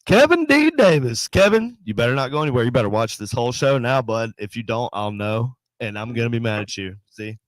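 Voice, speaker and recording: A nasal man with a stuffy sinus cold, co-hosting a paranormal chat show in a loose, rambling way. He jokes around and calls out viewers' names with bursts of energy. His voice sits at a middle pitch and sounds close and dry, with no room echo. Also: brisk, hardly any pauses. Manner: rambling, joking, animated shout-outs to viewers. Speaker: podcast co-host. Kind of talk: casual chat.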